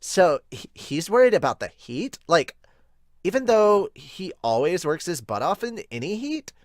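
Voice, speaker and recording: A man hosting a manga review, giving casual read-along commentary with amused, excitable asides. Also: sits high, unhurried, no echo, clean studio sound. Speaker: host of a manga review podcast.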